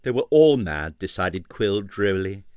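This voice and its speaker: A man reading an abridged novel aloud with expressive narration. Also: sits low, moderate pace, smooth, no room sound, studio quality. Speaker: audiobook reader.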